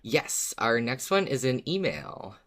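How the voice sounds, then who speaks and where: Lively, playful podcast co-host with swooping pitch. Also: slow. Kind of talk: casual chat about a fiction podcast.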